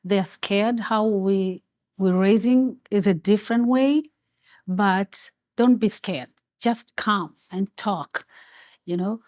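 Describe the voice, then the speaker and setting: Woman from Tanzania, mother, earnest, unhurried delivery, dry close sound. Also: medium pitch, a little mellow, no room sound. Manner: earnest, pleading with kids to open up. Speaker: parent. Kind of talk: community discussion.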